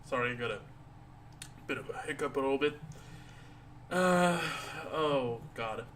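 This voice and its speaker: A tired man chatting casually on a live stream, rambling and halting with many restarts and fillers. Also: mid-range voice, a little hiss or hum. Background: soft background music.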